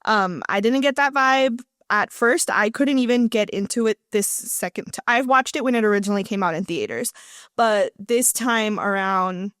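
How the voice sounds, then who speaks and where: A woman's voice: medium pitch, normal speed, fairly bright tone, clean tone, no room sound, clean studio sound. A woman co-hosting a podcast, giving her take on films in an informal, slangy, chatty style. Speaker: podcast co-host. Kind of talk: casual film review chat.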